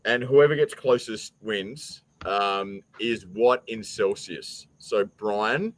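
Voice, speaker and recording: A man's voice: fairly low pitch, slow pace, slight background noise, poor audio. A man hosting a casual quiz among friends, bantering bluntly and often repeating words as he starts. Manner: teasing, blunt, stammering repeats. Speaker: show host running a quiz.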